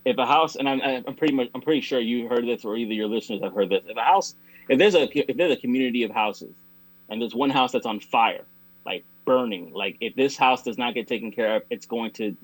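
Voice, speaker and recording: A man talks as a guest in a relaxed interview, in a mid-range voice. He builds his points through everyday analogies. The sound is dry and close, with no room echo. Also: medium pitch, brisk, breathy edge. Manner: conversational, explains with analogies. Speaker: interview guest, music artist. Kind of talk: casual interview conversation.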